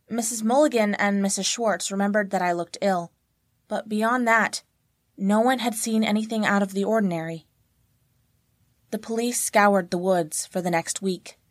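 A woman's voice: mid-range voice, no echo, studio quality. A woman giving a scripted narration of a horror story as a first-person account. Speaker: guest narrator.

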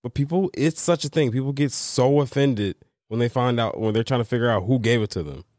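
A man's voice: mid-range voice, fairly quick, darkish tone, no echo, studio quality. A man co-hosting a podcast, teasing playfully, his voice nasal from being sick. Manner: playful, teasing, stretches words. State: nasal from covid. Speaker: podcast co-host. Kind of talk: casual chat.

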